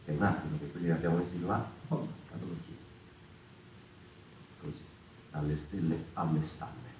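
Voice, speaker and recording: Deep, echoey brooding stage man. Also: steady tone, a little mellow. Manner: halting, reflective, phrase by phrase. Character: brooding man speaking for the mouse people. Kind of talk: theatrical monologue. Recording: echoey, distant, faintly noisy room recording.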